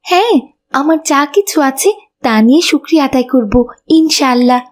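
A polite little cartoon schoolgirl, put on by a woman, with a very high voice. She is earnest, pious and grateful. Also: moderate pace, close to an everyday voice. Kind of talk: cartoon dialogue.

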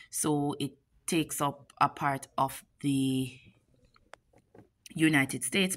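A young woman with a Jamaican accent, a teacher telling a casual story-time vlog to a phone camera in her classroom, chatty and playful. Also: low voice. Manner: chatty, animated retelling with playful asides. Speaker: teacher and vlogger. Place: classroom, indoors. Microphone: phone camera at arm's length.

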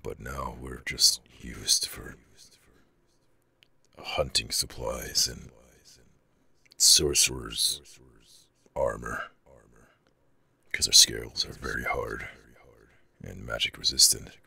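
A man voicing a huge, shy but proud dragon in an ASMR roleplay, with a growling, raspy voice and hesitant, stammering delivery. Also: steady tone, slow pace, pauses often, sharp tone, no room sound, heavily acted. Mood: solemn, resigned. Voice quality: very deep, gravelly growl with breathy rasp.

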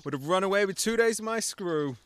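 A man acts out a vulgar, cocky self-made husband in a radio play. His voice sits a little high for a man, with colloquial, swaggering delivery and a chuckle in it. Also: animated, fairly quick, a bit bright, smooth, no echo. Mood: boastful.

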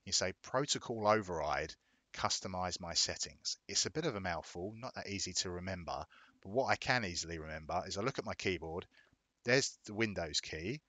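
A man narrating a casual software tutorial in a plain-spoken, step-by-step explanatory way. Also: sits low, animated, fairly quick, no room sound. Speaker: video tutorial presenter.

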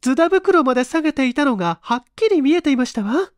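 A frightened wife chatters in a high, pleading voice. Her feminine speech swoops with insistence, as in a radio drama ghost tale. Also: lively intonation, quick pace, no echo, studio quality. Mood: shaken, insistent.

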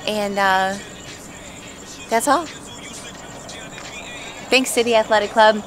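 A woman in her thirties chatting excitedly to a handheld camera, at a pool and then in a gym at night. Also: medium pitch, slow pace, poor audio. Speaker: bodybuilding vlogger. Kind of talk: casual vlog to camera. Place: poolside outdoors, then large gym at night. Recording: music playing behind.

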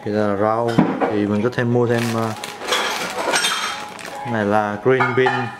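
A man's voice: lowish voice, slow pace, loud music behind the voice. Young man chatting through a meal prep. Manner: explains step by step, relaxed and chatty. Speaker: vlog host. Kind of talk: casual cooking vlog narration. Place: home kitchen, indoors.

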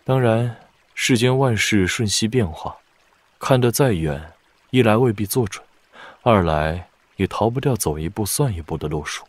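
An actor playing a calm, calculating blind male strategist in a wuxia audio drama, speaking in a measured, deliberate way. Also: on the low side, no echo. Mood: measured, analytical.

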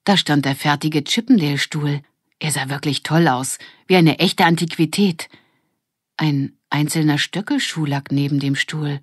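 A woman's voice: sits low, moderate pace, husky, dry recording, studio quality. A woman narrating a scripted children's story as an audiobook reader.

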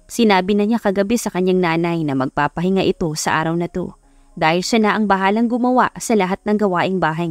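A woman's voice: mid-range voice, dry recording. A woman with a Filipino accent narrating a scripted romance story as an audiobook. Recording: music behind the voice. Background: background music.

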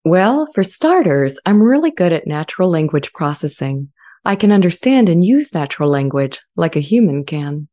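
Earnest AI character acted by a woman, low mellow register, measured and articulate, scripted drama. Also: very animated. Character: earnest, friendly, self-aware artificial intelligence language model. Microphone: studio condenser mic with pop filter, close.

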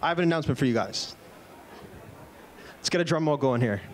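A man's voice: fairly high pitch, lively intonation, fairly quick, a little hiss or hum. A man in his thirties giving a product keynote at a conference, upbeat, with a few fillers and restarts, speaking into a handheld mic on an indoor stage. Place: conference stage in a large indoor event room. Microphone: handheld microphone.

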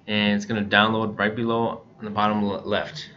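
A man narrates a casual how-to tutorial in a fairly low voice. His tone has a bright, forceful edge. The recording is somewhat dull and lo-fi. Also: little pitch movement, normal speed. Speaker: software tutorial presenter. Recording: dull lo-fi mic, background noise.

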